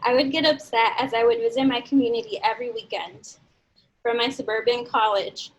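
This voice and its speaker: Young woman with a high voice, earnest speaker rallying a crowd. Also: steady tone, fairly quick, a little hiss or hum, poor audio. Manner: earnest, motivational, leads audience in call-and-response. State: dry throat. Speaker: speaker at a community event. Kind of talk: personal speech to an audience.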